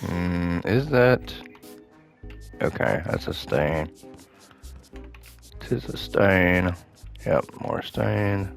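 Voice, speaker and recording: A man giving casual gameplay commentary, thinking aloud to himself as he plays, his voice slightly hoarse. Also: deep voice, extremely slow, pauses often, faint music behind. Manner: relaxed thinking aloud, muttering to himself while playing. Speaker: gaming host playing a renovation game.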